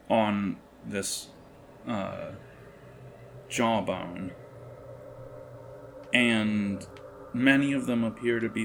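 A man improvising narration as game master of a tabletop game, with a halting, descriptive delivery. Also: lowish voice, a little hiss or hum.

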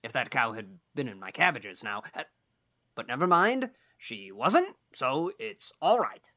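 Woman as a gruff, blustery old farmer. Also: extremely low pitch, sing-song, unhurried, fairly bright tone, voice pushed down, exaggerated voice. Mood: brisk, forgiving. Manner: blustery, blunt, folksy exclamations. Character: gruff, outspoken, irritable but kindly old male farmer. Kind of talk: audiobook character dialogue.

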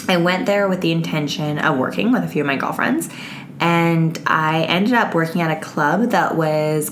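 A woman hosting a casual solo podcast, her voice raspy and hoarse as it gives out. She talks frankly, with lots of fillers and swearing. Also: sits low, slight background noise. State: hoarse, voice giving out. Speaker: podcast host.

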